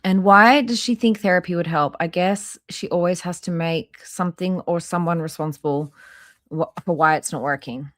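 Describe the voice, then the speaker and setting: Low-pitched blunt woman streamer. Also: normal speed. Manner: blunt, skeptical, swears. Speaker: live stream host. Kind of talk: casual live reaction commentary.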